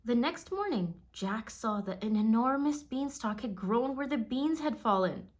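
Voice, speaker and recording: A woman narrating a children's storybook aloud in a measured, expressive storytelling manner. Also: a little high.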